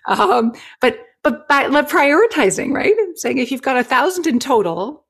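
A woman in her forties, a well-being researcher talking as a guest over a video call on a headset, explaining ideas in an animated, expressive way. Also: sits high, moderate pace. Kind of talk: enthusiastic conversational interview. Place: home living room.

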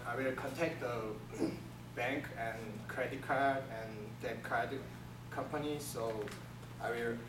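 A young man from South Korea with a Korean accent, giving a class presentation in halting second-language English with many restarts. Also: mid-range voice. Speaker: student presenter. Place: classroom with projector screen. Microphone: far camera. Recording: echoey room from far off, room hiss.

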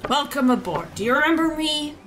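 A woman playing an eager, excitable cursed pirate in an improvised comedy fandub. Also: high-pitched, fairly quick, faint music behind.

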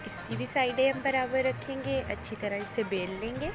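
A woman calmly explains each cooking step in a voice-over, speaking directly to viewers. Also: high voice, moderate pace. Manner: calm, instructive, addresses viewers directly. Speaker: cooking channel host. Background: loud background music.

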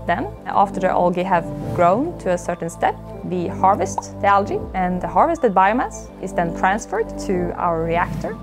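A woman's voice: lowish voice, very animated, normal speed, few pauses. A woman, earnestly explaining her company's work in a documentary interview, with frequent "uh" fillers, recorded on a lapel mic in a lab. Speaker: company founder and CEO. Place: indoor lab. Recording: music bed under the voice. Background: background music.

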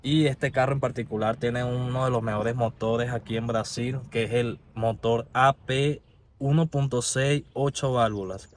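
A young man from Venezuela with a Venezuelan Spanish accent, talking casually to a phone camera from inside his parked car, relaxed and chatty. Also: medium pitch, unhurried, a little hiss or hum. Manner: chatty, relaxed, repeats "de verdad" often. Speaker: vlogger. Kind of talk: casual vlog talk to camera. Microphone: phone held at arm's length.

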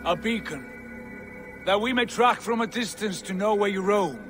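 An actor playing an earnest, compassionate warrior god in a video game, speaking formally. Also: male voice, sits high, moderate pace, music in the background, lightly acted. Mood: calling out, appealing. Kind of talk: video game cutscene acting.

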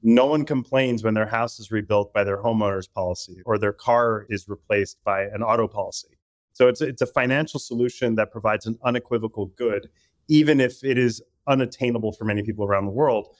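A man's voice: fairly low pitch, fairly quick, dry recording. A man from Puerto Rico speaking as a podcast guest, articulate but often restarting and repeating words. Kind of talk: casual interview talk.

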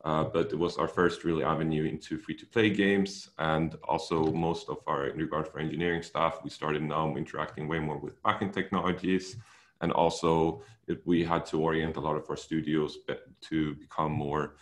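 A young Swedish-accented man presents over a video call, his voice sitting extremely low. He speaks in a relaxed way, with frequent ums and restarts. The sound comes from a small room. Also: normal speed. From Sweden. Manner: relaxed, many fillers and restarts. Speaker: technical director giving a talk. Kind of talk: online seminar presentation.

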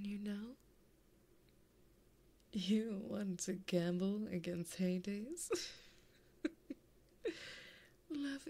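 A woman voicing a flirty, possessive, taunting female demon in an ASMR roleplay, breathy and often whispering in a teasing, intimate way, close to a binaural mic. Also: lowish voice, mellow, full character voice. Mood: possessive, coaxing. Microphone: close binaural microphone.

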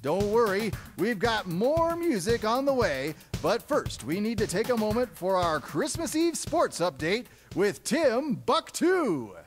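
A man's voice: high-pitched, big pitch swings, a little slow, hardly any pauses, soft background music. A man voicing an upbeat puppet radio DJ with a showy, exaggerated announcer delivery. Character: upbeat male Christmas Eve radio DJ puppet. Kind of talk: puppet show radio DJ patter.

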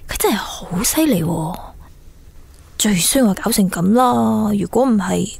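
An actress in her twenties playing an earnest, apologetic, lovestruck young woman in a radio drama, chatty and expressive. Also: medium pitch, measured pace, vocal fry, slight background noise. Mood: musing. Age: 20s. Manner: chatty, self-chiding, expressive. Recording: background music under studio drama dialogue.

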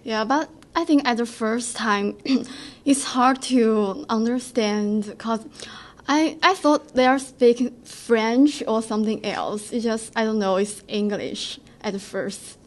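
A woman's voice: on the high side, slow. A young woman, an international student, co-hosting a casual student radio chat in simple phrasing. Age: young adult. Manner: non-native, simple phrasing, a little nervous.

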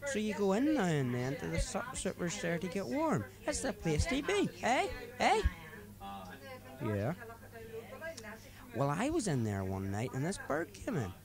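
A man's voice: high voice, wildly swooping pitch, unhurried, voice pushed up, heavily acted. A man doing a cackling drunk cop. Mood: boastful. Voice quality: cackling, leering, shouting. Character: drunk rowdy young male policeman. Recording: crowd noise behind.

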